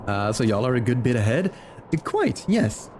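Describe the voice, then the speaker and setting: A man's voice: medium pitch, very animated, normal speed, a little mellow, a little hiss or hum. A man from Belgium who speaks English as a second language, chatting casually with viewers as a live game streamer. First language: not English, learned it from video games. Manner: chatty, greets viewers by name.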